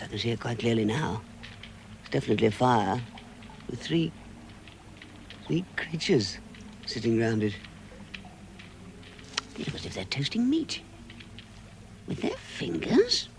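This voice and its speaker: An actor playing a fussy, timid, reluctant little hobbit with an English accent and a flustered manner, in an old-fashioned radio drama. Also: male voice, mid-range voice. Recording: noisy vintage radio drama recording.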